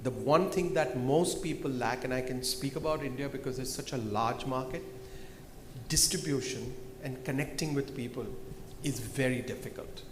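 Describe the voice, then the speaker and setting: A man on a conference panel, pitching his company's results in a confident, salesy way. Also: normal speed. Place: large event hall. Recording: echoey hall with loud background noise.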